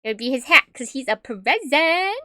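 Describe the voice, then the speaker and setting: A woman's voice: high voice, lively intonation, normal speed, sharp tone, no echo, clean studio sound. A woman streamer chatting casually with viewers in a tiny, cute-sounding voice, giggling often and rambling with fillers. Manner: giggly, laughs often between remarks; rambling, with fillers and self-corrections. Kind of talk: casual livestream chat with viewers.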